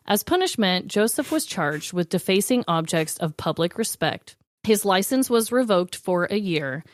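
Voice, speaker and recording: Lively woman hosting a podcast game, dry close sound. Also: medium pitch, normal speed, dry recording. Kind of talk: casual chat, storytelling.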